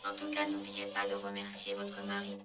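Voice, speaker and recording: A woman voicing a vain female household robot in a parody dub, speaking in a flat, mechanical way through a robot filter. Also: very deep voice, fairly quick. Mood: literal. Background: loud music.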